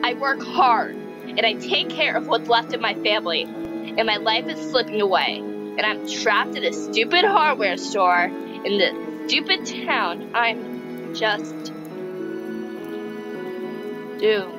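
A woman's voice: fairly high pitch, expressive, measured pace, rough recording. Lady voicing a resentful tired young woman from a game, fed up, bitter, music playing behind. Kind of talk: dramatic reading of video game dialogue.

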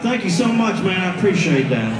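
A man with a slightly raspy, fairly high voice shouts crude banter from a concert stage. He sounds distant and swallowed by a big echoing hall, as heard on a phone in the audience. A loud band and a roaring crowd sit behind him. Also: big pitch swings, a little fast. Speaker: country singer on stage. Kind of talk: profane stage banter between songs. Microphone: stage vocal mic, recorded from the crowd on a phone. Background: loud band and cheering crowd.

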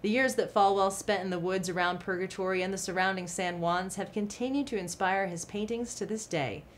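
A young woman anchoring a local TV news segment, reading a scripted feature in a clear, even newsreader delivery from a studio desk. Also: mid-range voice, a little fast, a little hiss or hum. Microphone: studio mic, seated at desk.

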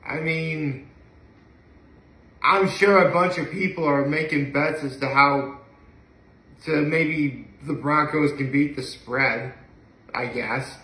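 A young man with a bright, fairly high-pitched voice talks casually to the camera. He rambles and hesitates, letting thoughts trail off. The recording is echoey, made in a room at home a few feet from the phone. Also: slow pace, long pauses. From upstate New York. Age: young adult. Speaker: daily vlogger. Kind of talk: casual solo chat to camera. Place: indoor living room at home. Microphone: phone camera a few feet away.

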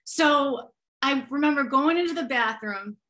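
Bright, slightly raspy young woman chatting. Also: high-pitched. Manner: gushing, chatty, quick asides with fillers. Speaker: singer and guest. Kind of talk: casual chat, storytelling. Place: home room. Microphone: webcam on a video call.

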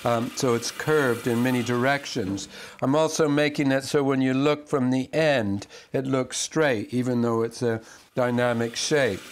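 An older man who carves shoe lasts, explaining his work calmly and step by step with frequent restarts while he cuts wood at a band saw in his workshop. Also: mid-range voice, a little slow. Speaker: shoemaker and last carver. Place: workshop, indoors, next to a running band saw. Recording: clear power tool noise behind the voice.

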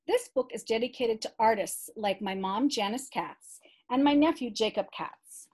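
A middle-aged woman reads a children's book aloud expressively and deliberately, adding chatty explanatory asides for young listeners. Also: a little high, darkish tone, slightly rough.

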